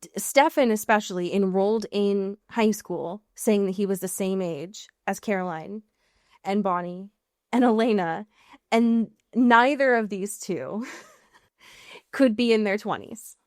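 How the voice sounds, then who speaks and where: An American woman co-hosting a podcast in casual, comedic chat, riffing with mock exasperation and drawn-out emphasis. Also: medium pitch, no echo, clean studio sound. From US Pacific Northwest. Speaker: podcast co-host.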